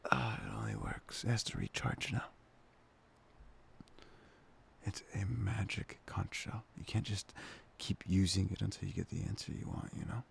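Gravelly, soft-spoken boyfriend played by a man. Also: deep voice, big pitch swings, moderate pace, few pauses, slight background noise, full character voice. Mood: playful, teasing. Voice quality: breathy, often whispering. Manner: hesitant, intimate, soft-spoken. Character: playful, tender, affectionate young boyfriend. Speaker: ASMR voice performer. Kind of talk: boyfriend roleplay ASMR.

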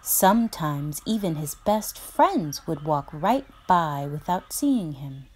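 Slow, expressive woman reading a storybook. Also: low-pitched, husky. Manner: deliberate, dramatic delivery with emphasis. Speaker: teacher reading a picture book aloud. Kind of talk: expressive children's storybook narration.

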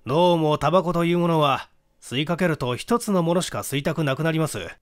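A man voicing a courteous, methodical police detective in a mystery audiobook, speaking politely in measured explanations. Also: a little high, no echo, clean studio sound, close to an everyday voice. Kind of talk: dramatic audiobook reading of a mystery.

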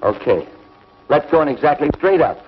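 An actor playing a young, commanding space hero in a 1950s TV sci-fi serial, giving curt, urgent orders. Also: male voice, on the high side, big pitch swings, normal speed. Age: young adult. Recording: dull, noisy 1950s TV soundtrack.

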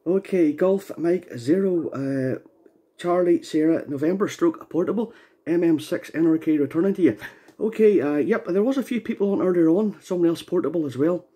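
A man with a mellow, husky voice pitched a little high. He talks like a relaxed ham radio operator on a contact, unhurried and casual, spelling things out phonetically. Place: indoor radio station, recorded near the equipment.

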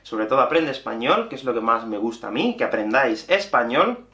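A man, teaching in an animated online lesson. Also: medium pitch, brisk. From Spain. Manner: animated, gestures constantly while explaining. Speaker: Spanish teacher. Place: small room with bookshelf and whiteboard. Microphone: camera at mid-shot distance. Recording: echoey small room.

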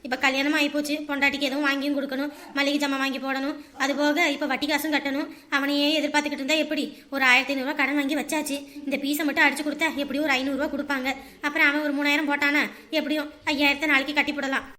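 A woman's voice: sits high, little pitch movement, rapid-fire, bright, forceful tone. A woman playing a protective older village mother in a family drama, with a blunt, scolding manner. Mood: worried. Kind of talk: melodramatic family drama acting.

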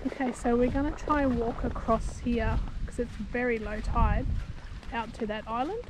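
A woman's voice: fairly high pitch, steady tone, moderate pace. Cheerful woman fishing by the water. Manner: cheerful, encouraging to the kids. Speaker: fishing vlogger. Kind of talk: casual fishing vlog narration. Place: outdoors on a windy shoreline. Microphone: action camera, often held at arm's length. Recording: outdoor recording with clear wind and water noise.

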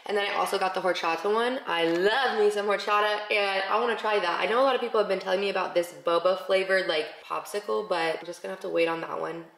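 A woman's voice: medium pitch, fast talker, vocal fry, hardly any pauses, a bit bright. A woman in her twenties chatting casually to camera in a daily vlog, upbeat and full of "like". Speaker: vlogger. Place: large bright home interior. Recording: roomy echo, faint noise.

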